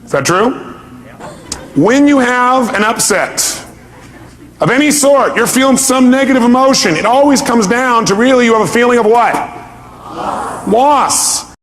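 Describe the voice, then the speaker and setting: A man's voice: extremely high pitch, expressive, unhurried, long pauses, fairly bright tone. A man giving a motivational seminar talk to an audience, speaking emphatically and pressing points with rhetorical questions.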